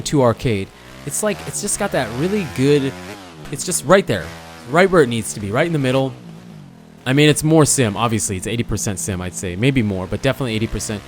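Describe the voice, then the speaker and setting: A young man with a hoarse, fading voice, chatting casually as he comments on his own gameplay, often stopping to correct himself. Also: mid-range voice. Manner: rambling, self-correcting, chatty. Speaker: gaming channel host.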